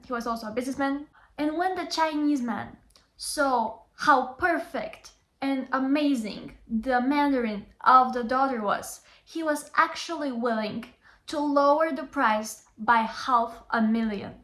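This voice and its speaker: A woman's voice: a little high, a bit bright. A young adult woman, a Chinese tutor giving an earnest motivational vlog talk and repeating phrases for emphasis. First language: Bulgarian. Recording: roomy echo.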